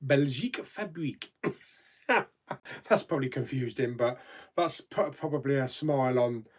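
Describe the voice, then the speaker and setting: A man's voice: medium pitch, measured pace. A man hosting a casual live chat, rambling and reading out viewer comments, laughing easily and swearing mildly.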